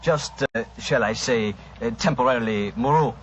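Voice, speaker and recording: An actor playing a falsely reassuring, quietly menacing doctor, polite and deliberate, in 1940s radio drama style. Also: male voice, mid-range voice, close to an everyday voice. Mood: smug, soothing. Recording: noisy, dull 1940s radio recording.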